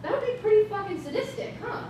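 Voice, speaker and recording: A woman's voice: extremely high pitch, lively intonation, quick pace. A woman playing a sassy, sarcastic talking dog in a staged play reading. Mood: indignant. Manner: sarcastic, slangy. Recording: echoey, noisy stage recorded from far off.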